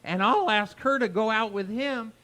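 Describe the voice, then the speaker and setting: A man's voice: high voice, moderate pace, bright, forceful tone, poor audio. A man playing a brash, helpful teenage schoolboy with a breezy, slangy manner in an old-time radio comedy. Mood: breezy, eager. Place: live stage performance at a radio history event.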